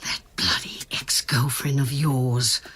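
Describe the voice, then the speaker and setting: A woman playing a harried, middle-aged mother in an audio drama, nagging her grown son and protective of her family, with brisk scolding delivery. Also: rumbling low, steady tone, fairly bright tone, a little hiss or hum, full character voice. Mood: prying. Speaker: restaurant owner.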